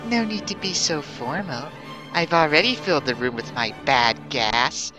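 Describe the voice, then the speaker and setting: A woman's voice: deep voice, lively intonation, moderate pace, bright, forceful tone, soft background music, poor audio. A woman playing a genteel, indulgent but easily overwhelmed mistress with theatrical delivery in a comic story reading. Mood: teasing, indulgent.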